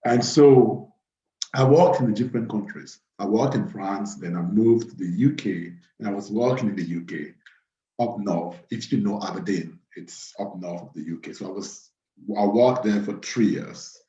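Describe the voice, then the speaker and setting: A man in his thirties from Cameroon hosting an informal live webinar, speaking haltingly and repeating phrases. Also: fairly low pitch, mellow. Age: 30s. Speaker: webinar host. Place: small plain room indoors. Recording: roomy, dull webcam audio.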